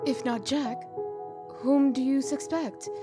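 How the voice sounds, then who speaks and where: A composed, stern female official played by a woman with a slightly high voice and measured pace. She is formal and insistent, pressing her questions in a dramatic fairy-tale comic dub. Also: fairly even intonation, music in the background. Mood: insistent, serious.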